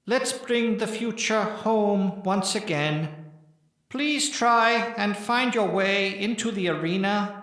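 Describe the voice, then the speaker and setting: A man's voice: high voice, fairly bright tone, heavy reverb. A man voicing a solemn, ceremonious otherworldly circus master who greets Earthlings in an audiobook. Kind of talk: audiobook character acting.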